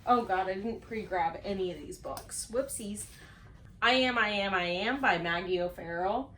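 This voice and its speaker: A woman's voice: medium pitch, normal speed, fairly bright tone, a little hiss or hum. A woman chatting casually to camera as a book reviewer, playful and self-mocking, stretching words for dramatic emphasis. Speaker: book reviewer on a video channel.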